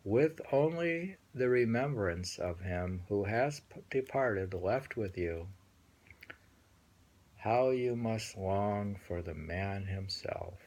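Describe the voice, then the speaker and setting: A man with a husky voice reads poems aloud at an unhurried pace. He is halting, repeating phrases and adding casual, musing remarks as he goes. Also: fairly low pitch, animated, darkish tone, low-quality recording. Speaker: reader and host. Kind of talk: reading translated poems aloud with casual commentary.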